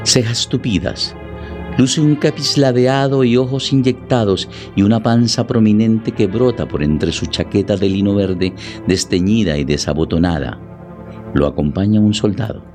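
A man's voice: fairly low pitch, normal speed, darkish tone, soft background music. A man delivering scripted dramatic narration as the narrator of a story.

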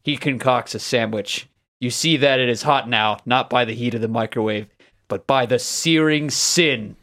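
A man's voice: mid-range voice, normal speed, bright, forceful tone. A man co-hosting a podcast, chatting casually and then narrating a story in a grandiose, dramatic manner. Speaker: podcast host.

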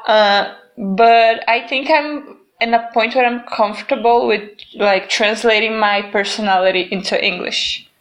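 A woman's voice: on the high side, fairly even intonation, measured pace, fairly bright tone, poor audio. A young woman with a Brazilian Portuguese accent, chatting casually in English, her second language, with lots of "like". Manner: chatty, fills with "like". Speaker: conversation partner. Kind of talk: casual chat.

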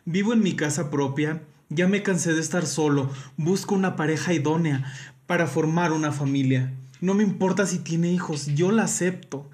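A man's voice: a little high, normal speed, a little mellow. A man with a Mexican accent, chatting casually and playfully reading aloud as a podcast co-host.

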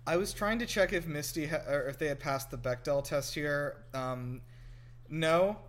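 Slightly high-voiced man hosting casual film chat. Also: moderate pace. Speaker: podcast host.

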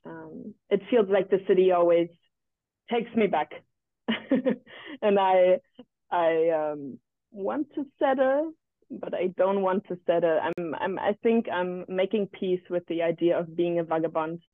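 A woman's voice: lowish voice, a little slow, no room sound. A woman in her twenties from Berlin, chatting casually as an interview guest and thinking aloud in a reflective, tentative way. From Berlin, Germany. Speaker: podcast guest. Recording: thin, phone-like remote call audio.

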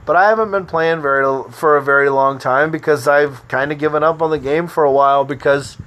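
A man's voice: a little high, steady tone, brisk, fairly bright tone. An American man hosting his own podcast, talking in a loose, meandering way with lots of fillers and restarts. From United States. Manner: meandering, easygoing, frequent fillers. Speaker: podcast host. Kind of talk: casual rambling monologue.

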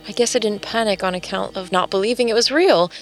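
Woman playing curious american gal telling her own story, wry chatty narration, middle pitch, faint music bed. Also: quick pace, natural voice, low-quality recording. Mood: wry, musing. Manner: wry, musing. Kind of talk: first-person dramatic narration, conversational.

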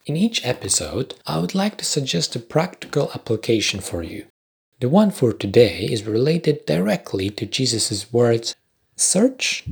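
A young man from Poland with a Polish accent, hosting a podcast in a measured, scripted reflective style with dry understated humour. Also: medium pitch, creaky, slightly rough. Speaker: podcast host. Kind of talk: scripted reflective narration with commentary.

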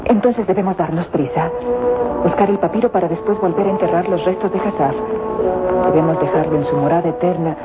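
An actress playing a composed, respectful adult daughter of a scientist in a radio serial, with formal theatrical diction. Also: female voice, on the low side, fairly quick, loud music behind the voice, close to an everyday voice. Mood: grave, thoughtful. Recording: very noisy, dull old radio drama recording.

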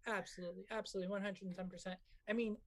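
Twenties male podcast guest from Mexico, raspy and soft-spoken, easygoing talk with lots of fillers. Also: high-pitched, flat delivery, very fast. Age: 20s. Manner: easygoing, agreeable, filler-heavy. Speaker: guest author. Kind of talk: casual chat.

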